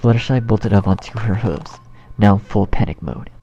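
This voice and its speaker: A man reads a story aloud as narrator, in a lowish voice with plenty of creak. The tone is mellow, and the home recording is a little dull with faint noise. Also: moderate pace, faint music behind. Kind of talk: scripted storybook narration of fan fiction.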